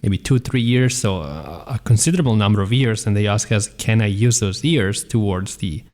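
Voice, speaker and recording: A man hosting a talk show, giving measured, explanatory answers as an advisor. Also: on the low side, darkish tone. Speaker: citizenship advisor host.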